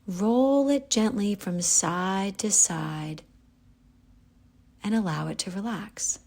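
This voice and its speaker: A woman guiding a yoga nidra relaxation, giving calm, gentle, unhurried instructions with pauses. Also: mid-range voice. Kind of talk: guided relaxation meditation.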